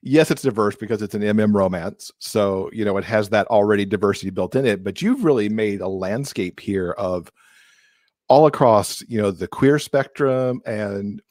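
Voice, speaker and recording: A man's voice: lowish voice, normal speed, darkish tone, no echo. A middle-aged American man hosting a conversational author interview, speaking into a close microphone. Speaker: podcast co-host interviewing an author. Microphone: large close microphone, video call.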